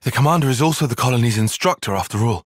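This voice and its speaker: Male actor as young tactician, fantasy video game, analytical, articulate, husky edge, lively intonation, close dry sound. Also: mid-range voice, very fast, no room sound, natural voice. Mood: serious, analytical. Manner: precise, formal, articulate phrasing. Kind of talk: video game cutscene acting.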